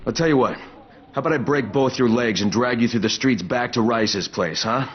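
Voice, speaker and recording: An actor playing a gruff, cynical undercover operative with a terse, dry delivery in a cinematic video game. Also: male voice, medium pitch, a little fast, natural voice. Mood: cold, threatening. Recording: muffled game capture, clear background noise.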